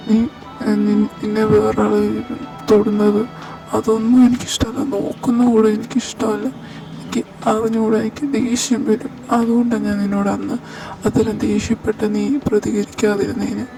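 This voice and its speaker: A woman voicing an intense, possessive young man who confesses his love in a soft, halting voice. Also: medium pitch, completely flat delivery, close to an everyday voice. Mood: tender. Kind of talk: amateur audio drama. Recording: distant mic over clear music.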